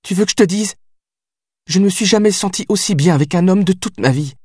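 A man's voice: medium pitch, steady tone, normal speed, slightly rough, no room sound, studio quality, close to an everyday voice. A man reading an audiobook voices a passionate, heartbroken young woman who pleads and cries out. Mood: tearful, furious. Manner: pleading, then shouting through tears. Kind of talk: audiobook character voice.

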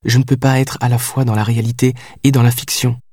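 A man's voice: medium pitch, flat delivery, quick pace, dry recording, clean studio sound, lightly acted. A man reading an audiobook voices a passionate, heartbroken young woman who pleads and cries out. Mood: resigned, gentle. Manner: pleading, then shouting through tears. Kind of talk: audiobook character voice.

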